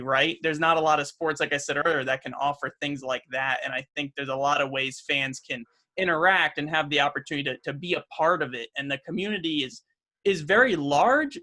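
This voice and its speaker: Upbeat bright-voiced young man. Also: on the high side. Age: young adult. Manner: earnest, upbeat, with frequent fillers and restarts. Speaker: race car driver guest. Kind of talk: casual podcast interview.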